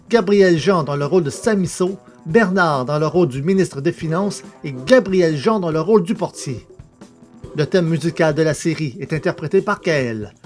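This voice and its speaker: A man's voice: on the high side, darkish tone. A man presenting an audio drama, reading out scripted credits as announcer. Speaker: presenter and author. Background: music.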